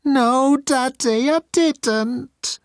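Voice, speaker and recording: A man voicing a timid, pleading young alien officer with a squeaky, flustered voice in a sci-fi audiobook. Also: very slow, clear, resonant tone, dry recording, clean studio sound, full character voice. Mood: miserable, pleading. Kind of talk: audiobook character acting.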